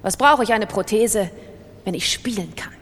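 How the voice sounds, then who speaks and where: A woman's voice: mid-range voice, normal speed, natural voice. Woman as an obsessive gambler, reverberant hall. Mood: confessional. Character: obsessive female gambler confessing her addiction. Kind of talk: first-person confessional monologue in radio drama.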